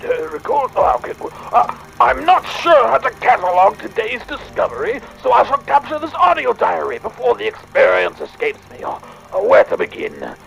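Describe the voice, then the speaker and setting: A man playing a fussy, excitable Victorian gentleman inventor, speaking a pompous, wordy audio diary in a theatrical drama. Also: very high-pitched, normal speed, vocal fry, heavily acted, poor audio. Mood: flustered, musing. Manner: pompous, wordy, hesitant musing.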